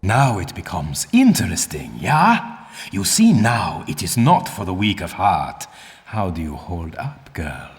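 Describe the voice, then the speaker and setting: Deep pompous mentor in cavernous reverb. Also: male voice, big pitch swings, a little slow. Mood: grave, imposing. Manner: formal, pompous, instructive. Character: stern, condescending older German archaeologist mentor. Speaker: mentor and guide. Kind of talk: video game dialogue and tutorial instruction.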